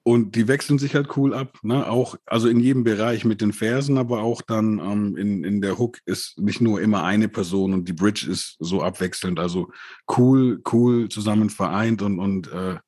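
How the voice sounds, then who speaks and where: A man co-hosting a casual rap review chat, relaxed and laughing often, who grew up bilingual. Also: on the low side, moderate pace, breathy edge, dry recording, studio quality. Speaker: podcast co-host reviewing rap releases.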